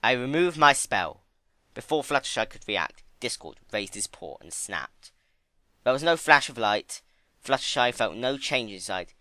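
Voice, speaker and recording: A man's voice: mid-range voice, lively intonation, normal speed, sharp tone, no echo. A man narrating a story as a dramatic scripted reading.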